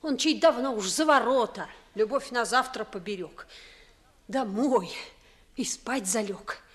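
A pert young maidservant played by a woman speaks in a high voice at an unhurried pace. She is folksy and theatrical, timid yet ready to scold, as in 1950s radio theatre. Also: close to an everyday voice. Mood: nervous, grumbling. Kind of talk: 1950s Russian classical radio theatre acting.

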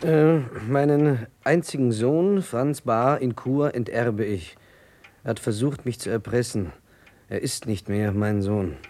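Guy playing plain obedient police sidekick in a radio play, middle pitch, slight background noise. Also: measured pace, darkish tone, lightly acted. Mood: reading aloud. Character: dutiful male police assistant reporting to his chief. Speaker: actor in a crime radio drama. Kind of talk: German radio drama acting.

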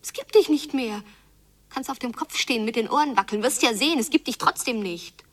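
A woman's voice: a little high, animated, fairly quick, distant mic. An actress playing a hurt, yearning girl abandoned by her father in an intense radio play monologue that often drops into whispering. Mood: angry defiance.

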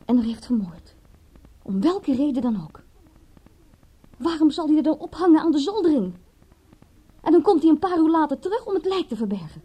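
An actress playing an agitated, stubbornly disbelieving young woman in a radio drama, emphatic and sometimes dropping to a breathy murmur. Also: sits high, big pitch swings, normal speed, long pauses, dark tone. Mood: incredulous arguing.

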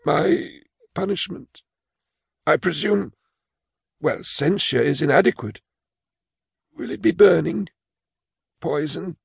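A man's voice: on the high side, sing-song, slow, pauses often, no echo, studio quality, exaggerated voice. An actor playing a frail, elderly male clerk who is anxious and quavering, in an audio drama. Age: older. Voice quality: gravelly, husky.

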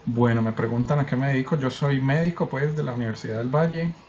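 A man's voice: mid-range voice, fast talker, darkish tone. Hesitant Cali man on a call. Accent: Colombian Spanish, from Cali. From Colombia. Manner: hesitant, casual, many fillers. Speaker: participant. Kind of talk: self-introduction on a voice call. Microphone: computer mic on a voice call. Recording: dull lo-fi voice call, faint noise.

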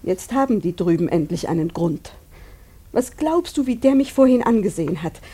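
An actress playing a fretful, worried older mother and wife in a German radio drama, speaking in a hushed, anxious way. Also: mid-range voice, animated, normal speed, husky. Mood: fearful, agitated.

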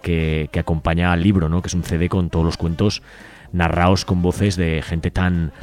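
Low-pitched man co-hosting radio listings. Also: flat delivery, moderate pace, darkish tone. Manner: informal, wry. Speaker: radio show co-host. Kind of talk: casual radio events listings.

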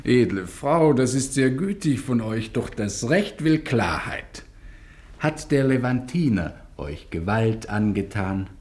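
A stern Venetian magistrate in a radio play. He is formal and impatient, commanding with deliberate, slow-paced authority. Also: mid-range voice, animated, darkish tone. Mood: probing. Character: stern, impatient male judge of the Venetian court. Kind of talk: German radio drama acting.

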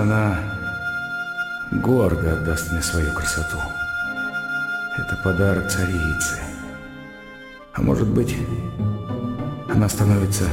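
An actor playing a lovesick, self-tormenting educated gentleman, speaking his thoughts in a halting, intense way in a radio play. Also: low voice, vocal fry, exaggerated voice. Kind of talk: radio play inner monologue. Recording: echoey long reverb, music behind.

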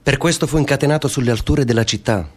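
A man's voice: mid-range voice, fast, a little mellow, husky, natural voice. An actor playing a reluctant, questioning condemned man with a southern Italian accent, speaking tersely in a radio drama. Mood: solemn.

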